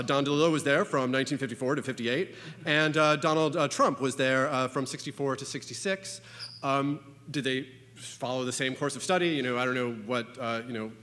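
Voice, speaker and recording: Halting, wry man giving a talk in a hall. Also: medium pitch, fairly bright tone. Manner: halting, full of "uh" and "you know", wry asides. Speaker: conference speaker. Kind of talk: informal conference talk. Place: conference hall. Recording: long reverb of a lecture hall.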